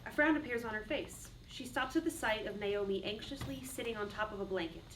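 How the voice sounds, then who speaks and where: A woman's voice: medium pitch, fairly quick. A woman reading stage directions as scripted narration for a play. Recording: distant mic, clearly noisy room.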